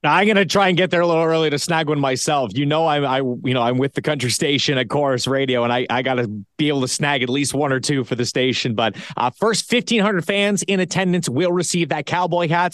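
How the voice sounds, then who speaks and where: A man's voice: on the high side, fairly bright tone, no room sound, studio quality. A man with a Canadian accent chatting casually as co-host of a sports podcast. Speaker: podcast co-host, radio station staffer.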